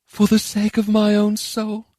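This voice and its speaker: Audiobook heroine, played by a man: high-voiced woman bard leading warriors, earnest and declamatory, wistful but fervent. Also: a little slow, a bit bright, dry recording. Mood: wistful, solemn. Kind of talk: audiobook character voice.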